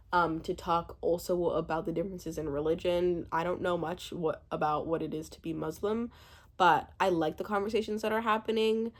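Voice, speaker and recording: A woman in her twenties giving a casual, chatty recap commentary, animated and rambling, often breaking off mid-thought. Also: lowish voice. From born in Uganda, spent part of childhood there. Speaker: YouTube host reviewing a reality show.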